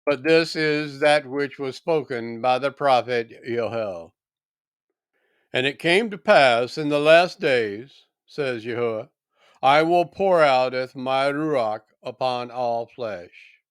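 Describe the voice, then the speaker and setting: A man reading scripture solemnly from a script, with deliberate, dramatic oratorical phrasing. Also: mid-range voice, smooth, dry recording. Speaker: scripture reader.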